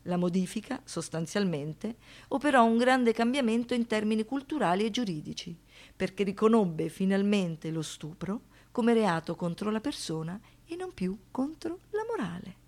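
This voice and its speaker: Woman narrator, mid pitch, reading scripted docu drama stuff, measured n deliberate. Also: animated, darkish tone. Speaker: stage narrator.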